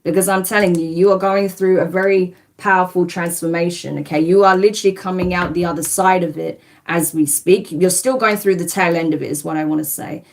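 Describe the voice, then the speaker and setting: A woman's voice: fairly low pitch, fairly even intonation, brisk, hardly any pauses. A woman giving a spiritual tarot reading on a livestream. She talks in a soothing, reassuring way and keeps asking "right?" and "okay?". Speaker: tarot reader.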